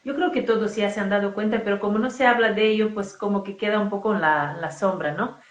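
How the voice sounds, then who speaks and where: A woman's voice: mid-range voice, quick pace, dull audio. A woman from Galicia hosting a casual interview, effusive and enthusiastic as she speaks. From Galicia, Spain. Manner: effusive, enthusiastic, spiritual talk. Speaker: host, coach. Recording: echoey room.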